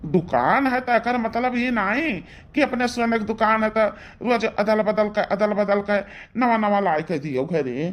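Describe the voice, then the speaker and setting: A woman's voice: medium pitch, animated, a little slow, natural voice. A woman voicing an older village father-in-law in a cartoon, questioning and scolding with an Awadhi accent. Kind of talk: cartoon dialogue.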